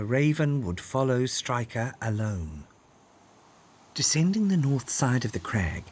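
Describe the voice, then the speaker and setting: A man narrating a scripted children's story in an expressive, dramatic way. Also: fairly high pitch.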